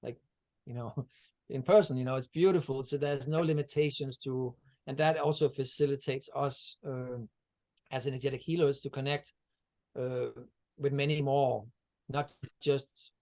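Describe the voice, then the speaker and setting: A man's voice: medium pitch, fairly even intonation, normal speed, mellow. A man from Denmark, a guest on a video-call interview, talking about energy healing in a meandering way with many fillers and restarts. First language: Danish.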